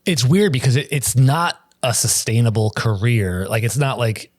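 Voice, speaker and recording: A man in a casual podcast chat, talking in a reflective, measured way. His voice is mid-pitched. The recording is dry and close. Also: animated, no room sound. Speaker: podcast co-host.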